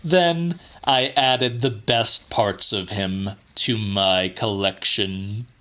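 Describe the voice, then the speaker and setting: A man reading a horror audiobook in a measured, theatrical way. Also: lowish voice, sharp tone. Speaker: audiobook narrator. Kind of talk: dramatic horror audiobook narration.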